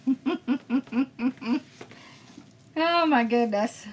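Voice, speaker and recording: A woman's voice: high voice, very slow, a little hiss or hum. A woman hosting a craft livestream, chatting casually and answering viewer comments as she works, often laughing. She was born in Pennsylvania and raised in Georgia. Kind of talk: casual live-stream chat while crafting.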